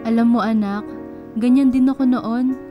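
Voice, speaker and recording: A woman's voice: a little high, steady tone, normal speed, muffled recording, close to an everyday voice. A woman playing a protective, loving, humble mother in a radio drama. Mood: tender, reassuring. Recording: music bed behind the voice.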